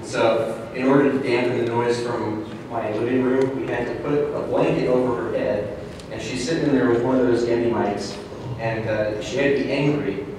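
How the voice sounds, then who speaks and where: A man telling casual, halting, jokey anecdotes as an audio drama producer on a convention panel. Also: medium pitch, fairly even intonation. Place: large indoor convention room. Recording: very echoey hall, distant mic, noisy.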